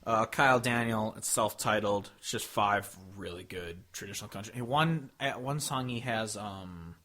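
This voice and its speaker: A man's voice: fairly low pitch, normal speed, bright, forceful tone. A man co-hosting a podcast with casual music review chat, talking in an informal, joking way with some swearing. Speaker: podcast co-host.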